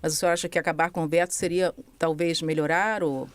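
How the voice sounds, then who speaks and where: A woman's voice: sits low, fairly even intonation, quick pace, dry recording. A woman with a Brazilian Portuguese accent who interviews a guest in a conversational way, often adding tag questions. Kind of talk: news interview.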